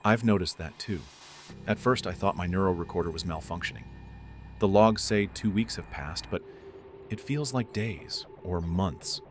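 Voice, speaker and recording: An actor playing a paranoid, haunted scientist slipping into madness in an audio drama. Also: male voice, sits low, normal speed, soft background music, lightly acted. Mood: uneasy, haunted.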